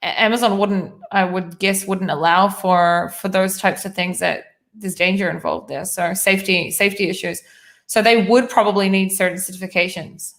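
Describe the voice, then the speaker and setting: Bright-voiced woman from New Zealand, interviewing. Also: mid-range voice, steady tone, moderate pace. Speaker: host. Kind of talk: conversational interview.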